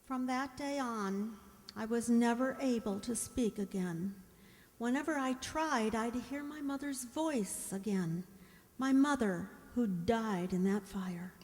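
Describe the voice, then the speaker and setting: Gentle, anxious young servant woman, hall reverb. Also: a little high, takes it slowly. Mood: haunted, wistful. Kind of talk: radio drama narration and inner monologue. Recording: echoey hall with long reverb.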